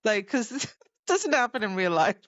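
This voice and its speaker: A woman's voice: fairly high pitch, big pitch swings, normal speed, no echo. A woman co-hosting a podcast, chatting informally about books with wry asides, on a slightly dull microphone. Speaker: podcast co-host. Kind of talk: casual book discussion chat.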